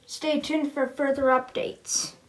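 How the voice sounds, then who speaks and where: High-pitched woman reading slow emergency alerts. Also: little pitch movement, rough recording. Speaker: emergency broadcast announcer in a hobby scenario video. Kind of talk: scripted mock emergency alert narration.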